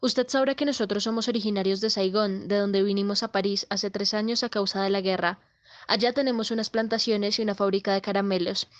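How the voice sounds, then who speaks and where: A woman's voice: mid-range voice, steady tone, a little fast, lightly acted. An actress playing a secretive, formal lady of the house, recounting events matter-of-factly in a radio drama. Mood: secretive, grave. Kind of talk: radio drama narrative monologue.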